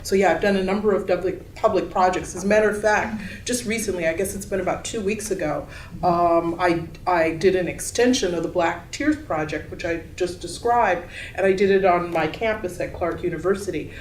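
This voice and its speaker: A woman's voice: fairly low pitch, a little fast. Echoey, articulate woman on a panel. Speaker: artist and college teacher on a panel. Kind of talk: panel discussion. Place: gallery room, picked up from a distance. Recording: echoey room, distant mic, background noise.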